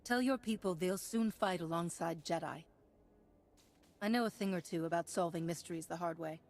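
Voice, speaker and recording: An actress playing a composed, principled female Jedi in a video game, speaking with measured, assured authority. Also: lowish voice, close to an everyday voice. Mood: resolute. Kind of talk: video game cutscene acting.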